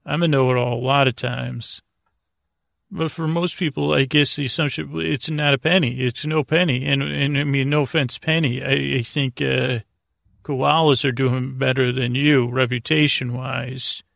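A man hosting a bedtime podcast in a gravelly voice, rambling haltingly and repeating words as he drifts into goofy, gently silly tangents. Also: medium pitch, steady tone, no echo, clean studio sound. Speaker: host of a bedtime sleep podcast. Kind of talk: rambling, meandering bedtime monologue.

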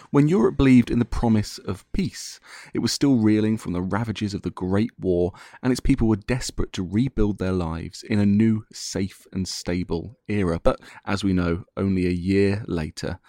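A man hosting a history podcast, giving a clear scripted introduction. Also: lowish voice, warm tone, no room sound, studio quality. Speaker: podcast host.

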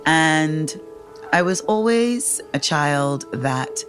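Very low-voiced woman storyteller. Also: animated, very slow, music in the background. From England, raised in the Caribbean. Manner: candid, laughs often, acts out quoted voices. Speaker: guest sharing her story. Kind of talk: personal storytelling.

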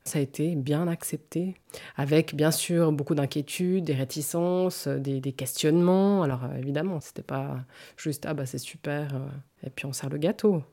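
A woman in her forties with a very low voice talks through her story in an interview. Her tone is warm and mellow, and she speaks thoughtfully and with some hesitation. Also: normal speed. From canton of Vaud, French-speaking Switzerland. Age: 40s. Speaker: interview guest. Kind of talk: personal testimony in an interview.

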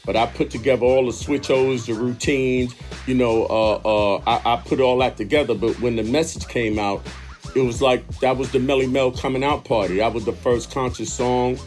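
A middle-aged or older man, a musician, reminiscing in an interview in an emphatic way, often repeating himself. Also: medium pitch. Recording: music playing behind the voice.

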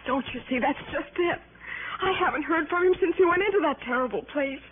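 Actress as anxious young wife: high, pleading, halting voice, melodramatic vintage radio drama, tinny broadcast sound. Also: female voice, natural voice. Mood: anguished. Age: young adult. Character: frightened, earnest young wife of a convict. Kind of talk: 1960s radio drama acting. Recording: tinny 1960s radio broadcast, faint noise.

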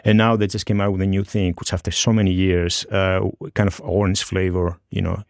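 Middle-aged Greek-born bartender guest, very deep gravelly voice, halting and full of fillers, dry close sound. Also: male voice, level tone, darkish tone, no room sound. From Greece. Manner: hesitant, many fillers and restarts. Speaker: guest bartender and bar co-owner. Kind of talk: casual interview talk.

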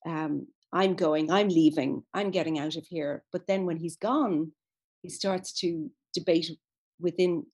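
A woman's voice: low voice, darkish tone, husky. Thoughtful woman explaining with many fillers. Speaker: guest expert on literature. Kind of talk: conversational interview.

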